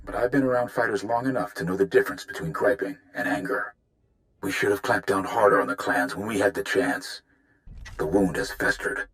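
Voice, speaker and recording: An actor playing a seasoned, watchful warrior advisor in a video game, speaking in a measured, grave way. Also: male voice, sits low, expressive, fairly bright tone, rough recording. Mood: grim, resolute.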